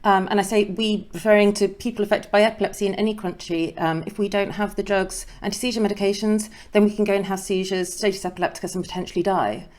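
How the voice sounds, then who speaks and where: A woman's voice: mid-range voice, level tone, fast, few pauses, darkish tone. A woman hosting an interview show, asking questions and pressing her points in a concerned, emphatic way. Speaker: host. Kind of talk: video interview.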